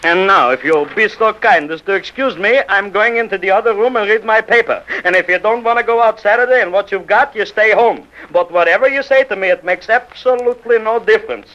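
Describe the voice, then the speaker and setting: A man's voice: sits high, normal speed, hardly any pauses, fairly bright tone. An actor playing an exasperated, dry and suspicious immigrant father, middle-aged, with wry repetitive phrasing, in 1940s radio comedy style. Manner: wry, repetitive, inverted old-world phrasing. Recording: muffled vintage radio recording.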